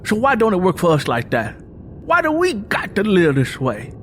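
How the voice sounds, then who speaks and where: A man's voice: sits high, wildly swooping pitch, moderate pace, a little hiss or hum, pitched above the performer's own voice. Man acting out a bitter, hot-tempered farmer. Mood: angry, bitter. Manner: combative, folksy rural speech. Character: proud, bitter, hot-tempered young farmer who drinks. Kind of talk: dramatised audiobook dialogue.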